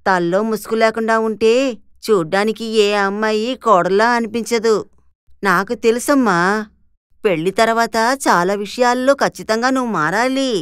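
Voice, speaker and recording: A very clear, resonant, fairly high female voice in a dry recording, playing a domineering mother-in-law acted by a woman. She lectures sternly in cartoon moral-story style, insisting on tradition. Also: normal speed, no echo, close to an everyday voice. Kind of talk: animated moral-story voice acting.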